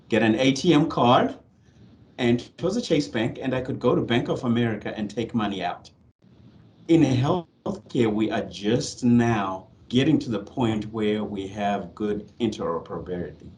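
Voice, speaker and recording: A man from Zimbabwe moderating a panel on a video call, posing questions in a polite, measured way. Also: mid-range voice, animated, darkish tone.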